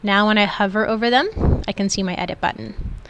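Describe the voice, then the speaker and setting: A woman's voice: medium pitch, fairly quick, fairly bright tone. A woman instructor giving an informal, step-by-step screen tutorial in an explanatory way. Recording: clearly noisy background.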